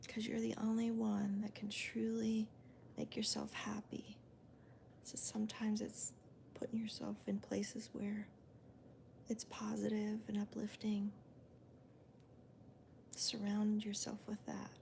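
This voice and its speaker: Slow, gravelly whispering woman. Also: mid-range voice, a little hiss or hum. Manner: calm, counts stitches aloud. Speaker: crochet video host. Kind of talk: soft-spoken crochet demonstration and chat.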